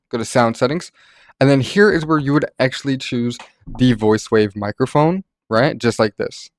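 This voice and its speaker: A man's voice: medium pitch, no echo, studio quality. A man narrating a casual gaming tutorial in an informal, rambling way, like a content creator talking to followers.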